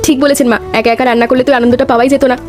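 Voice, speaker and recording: Woman acting high-voiced playful young daughter-in-law. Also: little pitch movement, racing, a little mellow, close to an everyday voice. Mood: delighted. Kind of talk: cartoon story dialogue. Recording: faint music behind the voice.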